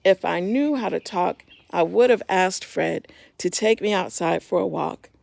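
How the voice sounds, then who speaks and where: A woman with a heavily creaky voice reads aloud for young children. Her delivery is expressive and simple, turning to address the little listeners directly. The sound is close and dry. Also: fairly low pitch, measured pace, no room sound. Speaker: storyteller reading a picture book to children.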